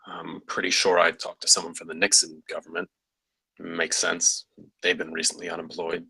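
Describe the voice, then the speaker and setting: Man reading an easygoing colleague, gravelly and husky. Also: low-pitched, completely flat delivery, moderate pace, pushed and bright, no room sound, heavily acted, rough recording. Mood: wry. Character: easygoing, reassuring male colleague at a party. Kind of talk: script table read.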